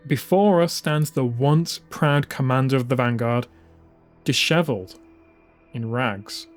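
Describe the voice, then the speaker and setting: A man narrates a dramatised audiobook at a slow pace. His pitch is medium, and music plays underneath the scripted reading.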